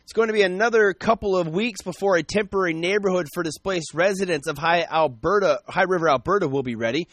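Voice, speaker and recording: Crisp male radio newsreader. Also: high-pitched, no echo. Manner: brisk, even newscaster delivery. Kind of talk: scripted news reading. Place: radio studio.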